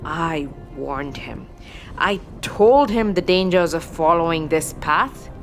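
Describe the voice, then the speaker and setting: Slow, cryptic fortune-teller played by a woman. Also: low-pitched, soft background music. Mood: bitter, brooding. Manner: inverted, archaic phrasing, ominous pronouncements. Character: cryptic fortune-telling woman, brooding and grudging. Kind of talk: fantasy audio drama acting.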